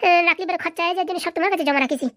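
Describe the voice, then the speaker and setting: A woman's voice: extremely high pitch, steady tone, racing, fairly bright tone, no echo, clean studio sound. A woman playing a feisty, gossipy, cheeky younger sister in a comedy cartoon. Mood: suspicious, chatty.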